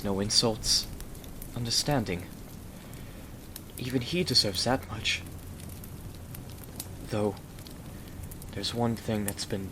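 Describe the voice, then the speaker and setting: Guy playing a sweet earnest victorian husband, lowish voice, asmr roleplay, rain and clear noise behind him. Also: lightly acted. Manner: earnest, courteous, tender. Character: gentle, devoted young Victorian gentleman husband.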